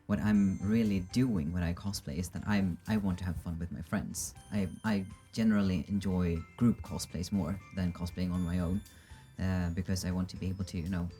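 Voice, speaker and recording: Male guest, a deep, mellow voice, and a self-deprecating manner. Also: steady tone, moderate pace, few pauses, soft background music. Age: 20s. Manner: self-deprecating, with frequent restarts. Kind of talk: casual panel chat.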